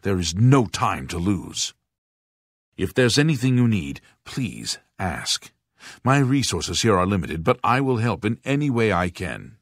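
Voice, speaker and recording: A man playing a grave aging monk and former guard captain in a fantasy video game, speaking formally and deliberately with a husky voice. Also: on the low side, sing-song, dry recording, studio quality. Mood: urgent, then grave, helpful. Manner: formal, solemn, deliberate enunciation.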